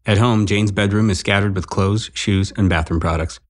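An actor playing a dry, matter-of-fact narrator of scenes in an audio drama. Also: male voice, lowish voice, fairly even intonation, normal speed, lightly acted.

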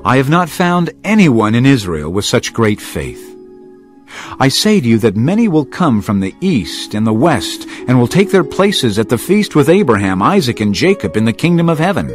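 An actor playing a solemn, authoritative male teacher and healer in a dramatised audio Bible, speaking in a grave, measured way. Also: medium pitch, big pitch swings, faint music behind, lightly acted. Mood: firm, grave.

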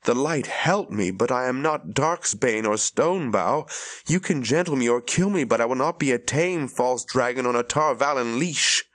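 A man's voice: fairly low pitch, moderate pace, no echo, clean studio sound, lightly acted. A man voicing an earnest young shepherd who speaks with defiant conviction.

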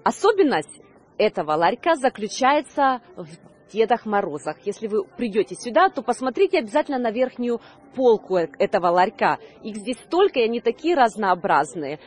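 A woman's voice: fairly high pitch, big pitch swings, normal speed. A woman in her thirties presenting a news report on location outdoors into a handheld microphone, with slightly hesitant delivery. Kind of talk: on-location reporting, semi-scripted presenting to camera. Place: outdoor Christmas market.

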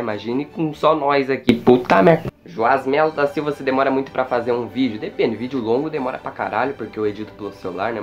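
A man's voice: mid-range voice, highs cut off. A teenage boy with a Brazilian Portuguese accent, answering viewer questions in a loose, unscripted vlog, joking and swearing a lot, filmed on a phone in a small bedroom. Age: teens. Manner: slangy, jokey, swears a lot, self-mocking. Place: small bedroom with wooden walls, indoors. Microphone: phone or webcam at arm's length.

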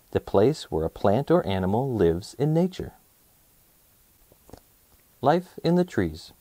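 Male narrator, mellow tone, lively swooping intonation, children's read-aloud, clear enunciation. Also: mid-range voice, no room sound. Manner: animated, clearly enunciated storytelling for young listeners. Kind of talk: expressive read-aloud of a children's nonfiction book.

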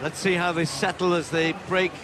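A man giving live play-by-play athletics commentary, building to shouts as the race unfolds. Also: high-pitched, level tone, normal speed. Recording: broadcast commentary over loud stadium noise.

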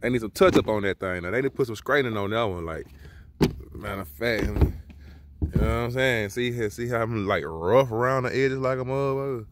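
A man's voice: mid-range voice, fairly quick, noisy background. A man reviewing sneakers in a casual vlog, talking loose and profane with lots of slang, recorded outdoors on a handheld phone. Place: outdoors beside a car in a yard.

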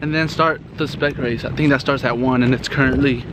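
A man's voice: medium pitch, steady tone, brisk. Chatty young man vlogging on a camera. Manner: chatty, teasing, talks to the camera constantly. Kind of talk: casual travel vlog. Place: airport, car and outdoor expo grounds. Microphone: handheld camera. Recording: loud background noise.

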